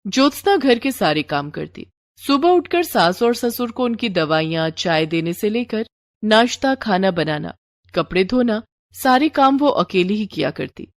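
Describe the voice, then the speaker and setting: A woman's voice: medium pitch, sing-song, measured pace, no room sound, clean studio sound. A woman narrating a scripted moral story as the storyteller.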